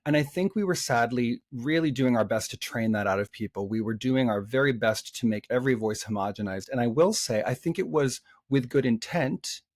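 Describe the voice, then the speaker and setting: A man's voice: mid-range voice, fairly quick, hardly any pauses, dry recording. Thoughtful man with a dry close sound. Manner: thoughtful, many fillers and restarts. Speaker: vocal coach guest. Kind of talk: conversational podcast interview.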